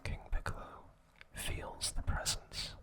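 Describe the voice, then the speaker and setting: Whispering man, slow ASMR narration. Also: bright, forceful tone. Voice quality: breathy, unvoiced whisper. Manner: slow, deliberate phrasing in short pieces. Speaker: host of an ASMR channel.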